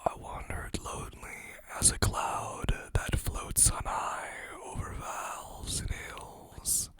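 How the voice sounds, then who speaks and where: Slow whispery asmr host reading poems up close, bit hoarse, chatty little asides. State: slightly hoarse, losing voice.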